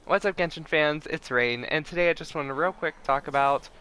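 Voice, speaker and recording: Bright-voiced casual male streamer. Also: a little high, fairly quick, slight background noise, low-quality recording. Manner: informal, wry, self-deprecating. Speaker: game streamer. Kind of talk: casual livestream chat and commentary. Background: game music.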